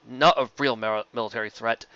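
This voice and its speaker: A man voicing a male pony guard officer, authoritative and deadpan, in an audiobook story. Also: mid-range voice, brisk, smooth, dry recording, dull audio, natural voice.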